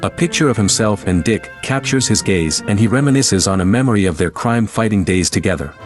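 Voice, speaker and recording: Low-voiced male narrator over music. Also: lively intonation, fairly quick. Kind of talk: scripted screenplay narration. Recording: music behind the voice.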